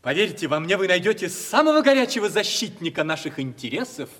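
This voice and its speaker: Eager young upstart, earnest, lively rising voice, reverberant studio. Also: male voice, sits high, quick pace, exaggerated voice. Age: young adult. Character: young eager social climber, man. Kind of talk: 1970s studio radio play.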